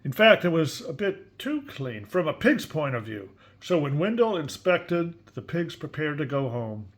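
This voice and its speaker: A man's voice: on the high side, sing-song, measured pace. A man reading a picture book aloud expressively, with casual, chatty asides and questions to a young listener. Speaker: story reader.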